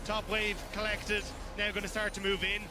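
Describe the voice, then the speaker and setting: A man's voice: high-pitched, monotone, moderate pace. A man giving live analytical commentary on an esports match, explaining how the fights play out.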